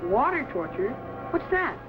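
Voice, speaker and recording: A man's voice: very high voice, very animated, measured pace, heavily acted. A young male actor playing an eager, curious boy assistant to a time traveller in an old adventure serial. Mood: concerned. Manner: earnest, boyish, obedient. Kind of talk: 1950s TV adventure serial acting. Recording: dull old broadcast recording, faint music.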